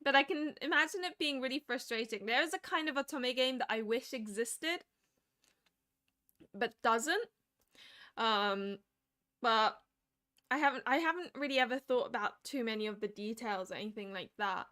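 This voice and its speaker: A woman in her late twenties chatting casually on a stream, animated and laughing often. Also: fairly high pitch, normal speed. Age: 20s. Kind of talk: casual chat.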